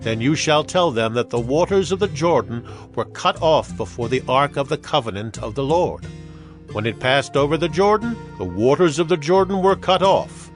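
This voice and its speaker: A man's voice: a little high, expressive, normal speed, natural voice. An actor playing Joshua, a solemn and fervent male leader of ancient Israel, proclaiming to a crowd in a dramatized scripture reading. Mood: solemn, commanding. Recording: dramatic music behind the voice.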